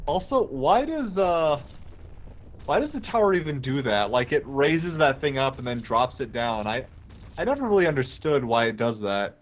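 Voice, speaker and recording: A man's voice: medium pitch, very animated, normal speed. A man chatting casually and jokingly as a game co-commentator, often posing questions. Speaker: let's play co-commentator. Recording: slightly dull audio, game music behind. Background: video game music.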